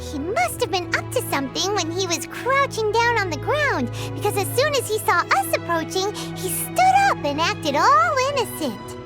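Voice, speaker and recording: A woman voicing a tiny, excitable, bossy childlike fairy companion in a video game, with a squeaky, emphatic delivery. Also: moderate pace, few pauses, loud music behind the voice. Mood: suspicious. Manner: emphatic, speaks of herself in third person. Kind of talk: video game character acting.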